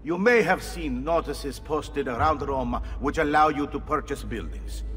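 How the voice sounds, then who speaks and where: Man playing a courteous high-voiced guild agent. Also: moderate pace, fairly bright tone, close to an everyday voice. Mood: courteous, businesslike. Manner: courteous, formal, explanatory. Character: formal, obliging agent of an underground guild network. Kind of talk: scripted explanation in a video game. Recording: long reverb, faint music behind.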